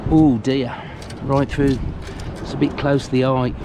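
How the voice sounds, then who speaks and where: A man's voice: mid-range voice, little pitch movement, measured pace. A man chatting casually to himself and the camera while fishing, in a muttering, self-deprecating way. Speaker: angler vlogger. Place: outdoors on sea cliff rocks. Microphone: action camera worn on the body. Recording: loud wind and surf noise outdoors. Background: sea waves and wind.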